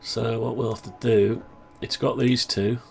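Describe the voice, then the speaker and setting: A man talking through a project update on his own software, rambling and thinking aloud, voice groggy from the flu. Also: medium pitch, steady tone, unhurried, a little hiss or hum. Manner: rambling, thinking aloud, frequent throat clearing. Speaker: hobbyist maker showing his own software. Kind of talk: casual screen-share project update.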